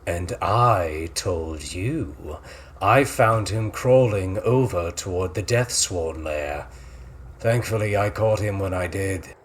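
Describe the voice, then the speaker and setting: A man's voice: sits low, takes it slowly, slight background noise. A man playing a regal, disdainful dusk elf vampire in an audio drama, imperious and scolding. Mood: scornful.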